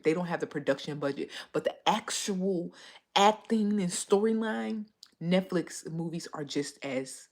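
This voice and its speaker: A woman hosting a casual livestream, chatting and answering viewer comments, a bit stuffy from a cold. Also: low-pitched. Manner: chatty, rambling, reads viewer comments aloud. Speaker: livestream host.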